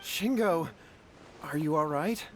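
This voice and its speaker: A man's voice: on the high side, animated, slow, slight background noise. A man voicing a humble, anxious villager in a video game. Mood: concerned. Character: humble, anxious male villager, protective brother. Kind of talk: video game character acting.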